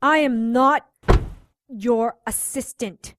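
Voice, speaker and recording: Young female sidekick, highish voice, slow emphatic delivery, sardonic, indignant, radio drama. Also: fairly bright tone, no room sound, clean studio sound. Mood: indignant, incredulous. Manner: incredulous, drawn-out emphasis. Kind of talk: audio drama acting.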